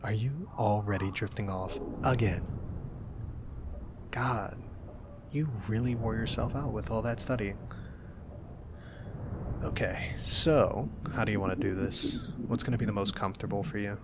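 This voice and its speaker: A young man with a lowish voice talks softly and gently, teasing in a playful way. It is an intimate ASMR roleplay delivery. A steady hiss runs under the recording. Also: measured pace, pauses often. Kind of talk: soft-spoken ASMR roleplay.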